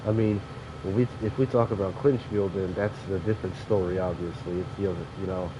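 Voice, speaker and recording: A man's voice: low-pitched, steady tone, a little fast. A young man sniffling from allergies, rambling casually with fillers as he commentates a train sim game. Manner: rambling, loose, with frequent fillers and self-corrections. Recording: dull lo-fi mic with clear background noise.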